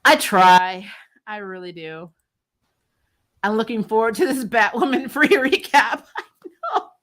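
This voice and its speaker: A woman's voice: a little high, measured pace, fairly bright tone. A woman hosting a casual recap livestream, talking informally and chattily about shows and viewer comments. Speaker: host of a TV recap show.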